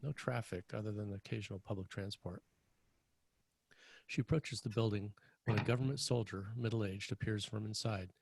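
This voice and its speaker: A man reading screenplay stage directions as scripted narration, with a husky, gravelly voice. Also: on the low side, normal speed, a little mellow, no room sound, poor audio.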